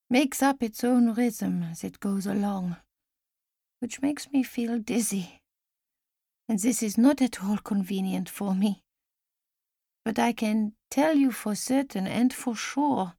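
A woman voicing a frail elderly woman who speaks formally and with gentle insistence, in an audiobook story. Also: medium pitch, creaky, no echo, clean studio sound, full character voice. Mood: earnest, gently wry. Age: older. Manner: measured, formal, gently insistent speech with few contractions.

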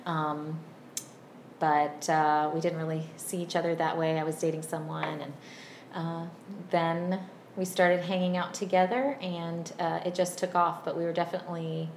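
A woman in her thirties from Virginia, chatting as a podcast guest in a friendly, unhurried way with many ums. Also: low voice, little pitch movement. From Virginia, USA. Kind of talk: casual interview chat. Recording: roomy echo, faint background hum.

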